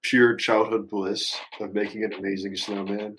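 Low-voiced, thoughtful young man. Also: flat delivery, normal speed, fairly bright tone, slight background noise, low-quality recording. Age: early 20s. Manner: rambling, youthful slang, thoughtful. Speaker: musician guest. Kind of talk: casual reflective interview chat.

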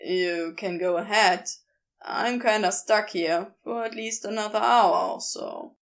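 A woman's voice: medium pitch, a little slow, creaky. A woman voicing a casual, irritable man who talks in a clipped, slangy, dismissive way in an audiobook story. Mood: offhand, irritable. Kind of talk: audiobook character voice.

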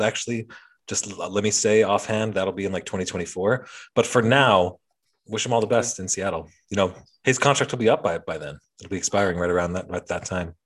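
A man's voice: sits low, husky. A man hosting a casual sports podcast, sardonic and profane, opening with a mock-dramatic intro. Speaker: podcast host. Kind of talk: casual hockey chat.

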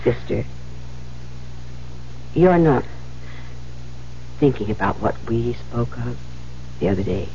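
A woman's voice: extremely low pitch, expressive, slow pace, pauses often, mellow, heavily acted. An actress playing a kindly, motherly older nun in a 1970s radio drama, fussing and cajoling with affection. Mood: gentle, hushed. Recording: dull, noisy 1970s radio recording.

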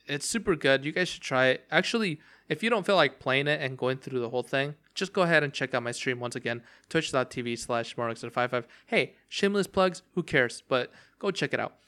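Rambling young man with a bright voice. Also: mid-range voice, lively intonation, fairly quick, few pauses. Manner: rambling, many fillers and restarts. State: voice cracking from long talking. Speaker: podcast host. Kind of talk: casual solo game talk.

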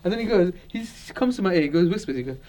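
A high-voiced man hosts a loose, casual conversation. He talks in animated bursts and laughs often while telling stories. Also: rapid-fire, slight background noise. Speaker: podcast host. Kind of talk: casual chat.

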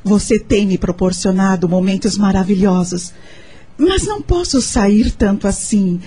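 An actress playing a modest, gentle single mother being courted in a radio soap, speaking politely. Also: mid-range voice, measured pace, breathy edge. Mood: sincere, firm. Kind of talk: Brazilian radio soap acting.

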